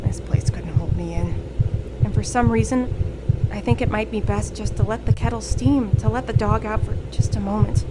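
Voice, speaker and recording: An actress playing a weary, defiant fast-food worker turning into a werewolf, narrating a horror audio drama with brooding intensity. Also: female voice, medium pitch, very noisy, lightly acted. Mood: strained, hungry. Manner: intense, brooding, literary storytelling. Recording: loud music bed behind voice.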